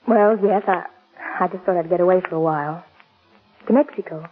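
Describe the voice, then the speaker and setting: A woman's voice: on the low side, brisk, slightly rough, faint music behind. An actress playing a long-suffering, earnest young woman with restrained firmness, in a 1940s radio drama. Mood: polite, guarded. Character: long-suffering, restrained young sister, gentle but firm. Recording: muffled, scratchy 1940s radio broadcast.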